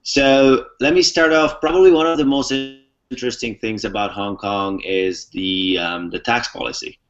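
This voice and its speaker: A man's voice: on the low side, fairly even intonation, moderate pace. A young man from the Czech Republic, an interview guest, explaining things fluently step by step with many "um"s. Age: young adult. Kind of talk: casual explanatory talk. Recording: muffled lo-fi recording.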